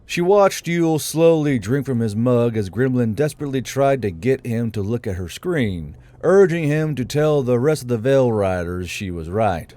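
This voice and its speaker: A man's voice: mid-range voice, animated, moderate pace, hardly any pauses, mellow. A man reading scripted narration for a fantasy audiobook as its narrator.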